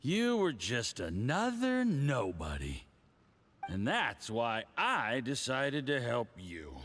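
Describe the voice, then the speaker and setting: A smug male diplomat from a fantasy game. His pitch swoops widely and his delivery is slow and theatrical. He sounds condescending and a little drunk, savoring his words like a patron talking down to an underling. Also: wildly swooping pitch, a bit bright, full character voice. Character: smug, condescending, tipsy Fatui diplomat, adult man. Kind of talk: video game voice acting.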